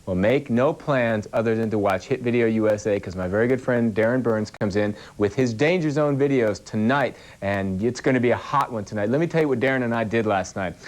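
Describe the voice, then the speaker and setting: A man's voice: medium pitch, a little fast, hardly any pauses. A young man hosting a live music-video channel in the 1980s, chatting casually and upbeat between videos.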